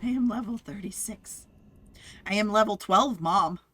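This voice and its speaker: A woman's voice: medium pitch, measured pace, a bit bright, slightly rough. A woman in her thirties chatting playfully on a game stream, teasing and giggling. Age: 30s. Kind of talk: casual game-stream chat.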